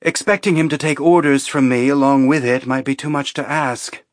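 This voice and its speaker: A man voicing a calm, dignified woman on the run aboard a spaceship, measured and earnest, in an audiobook. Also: mid-range voice, dry recording, studio quality, voice pushed up, natural voice. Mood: measured, serious. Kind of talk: audiobook character acting.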